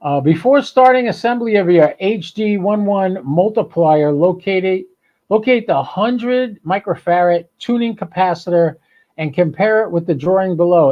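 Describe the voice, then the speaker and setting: A man with a fairly high but mellow, relaxed voice hosts an informal hobby stream. He talks in a loose, chatty way, thinking aloud as he rambles from one remark to the next. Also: highs cut off. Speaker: amateur radio podcast host. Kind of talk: casual rambling live stream chat.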